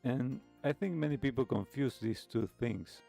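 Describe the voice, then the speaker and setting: A man hosting a talk show, giving reflective commentary in measured, halting phrases with pauses between short clauses. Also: medium pitch, creaky, dark tone. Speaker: host of a live retro music talk show. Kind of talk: reflective commentary, reacting to a video. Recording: music playing behind the voice. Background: music with guitar.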